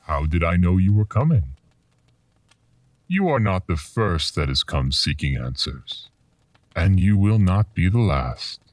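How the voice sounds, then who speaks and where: A very low-voiced, mysterious keeper of dark tales, speaks slowly and portentously. He taunts the listener with theatrical, swooping menace in the manner of a horror radio drama. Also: big pitch swings, dry recording, far from the mic, exaggerated voice. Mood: ominous, grave. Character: mysterious, ominous male storyteller collecting dark legends.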